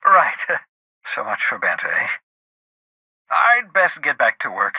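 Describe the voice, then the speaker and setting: An actor playing a cantankerous, socially awkward scientist in a video game, raspy, with a fussy, wordy, theatrical delivery. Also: male voice, mid-range voice, wildly swooping pitch, measured pace, no echo, dull audio, exaggerated voice. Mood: sheepish. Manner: fussy, wordy, dramatic, with muttered asides.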